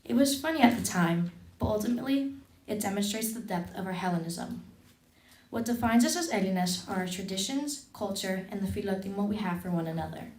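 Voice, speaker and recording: Teen girl reading her thank-you speech, steady and formal, roomy echo. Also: mid-range voice, a little fast. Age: teens. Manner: formal, earnest, steady delivery. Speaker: scholarship recipient. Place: event hall.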